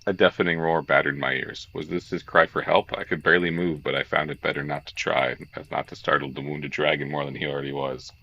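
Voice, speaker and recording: A man hosting a visual novel read-along, moving between narration and jokey, excitable, sweary commentary. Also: very deep voice, fast talker, gravelly, hardly any pauses. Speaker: streamer, host of a game read-along.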